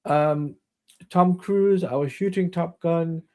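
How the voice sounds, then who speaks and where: Fairly high-voiced man losing his voice. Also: takes it slowly, a little mellow. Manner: rambling, reads news items aloud. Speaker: stream host. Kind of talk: casual live-stream chat.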